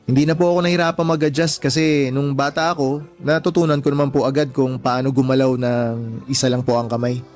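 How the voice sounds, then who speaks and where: A man's voice: medium pitch, little pitch movement, a little fast, a little mellow, soft background music, close to an everyday voice. A man playing a shy, humble and deferential young man in a radio drama. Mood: resigned, candid. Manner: polite and deferential. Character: humble, shy, self-effacing young layout artist born one-handed.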